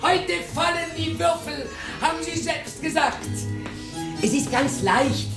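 A woman's voice: sits high, slow pace. An actress playing a coquettish, wistful older woman in a radio drama. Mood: excited, encouraging. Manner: animated, humming and coaxing. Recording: roomy radio drama with music behind.

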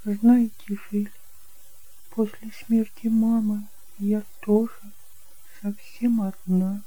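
A man voicing a gentle, sad woman in love, speaking softly and plaintively in an audiobook drama. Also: high voice, flat delivery, slow pace, voice pushed up, low-quality recording.

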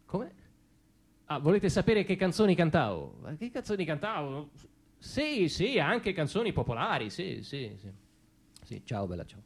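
A man acting a defensive, evasive ordinary father in a halting, repetitive theatrical monologue. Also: on the high side, wildly swooping pitch, gravelly, full character voice. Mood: evasive, flustered. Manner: hesitant, halting, repeating himself. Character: defensive ordinary middle-class father, evasive about his past. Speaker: stage actor performing a monologue. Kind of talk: theatrical comic monologue, as if answering questions.

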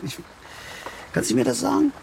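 An actor playing a brooding, fearful old angler, a stubborn patriarch who rambles and insists in a naturalistic radio drama. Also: male voice, extremely high pitch, normal speed, vocal fry, darkish tone, a little hiss or hum, full character voice. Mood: confiding, troubled. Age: older. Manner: rambling, insistent, self-repeating.

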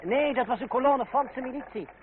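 A man's voice: very high-pitched, normal speed, a crowd in the background. An actor playing an earnest, brooding young nobleman in a radio play, restrained and a little hesitant in his questioning. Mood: alert. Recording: noisy, narrow-band old radio recording.

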